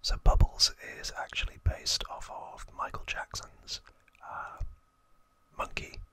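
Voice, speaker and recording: An ASMR host whispering trivia in a breathy, unvoiced voice, explaining hesitantly with soft pauses. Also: dry recording.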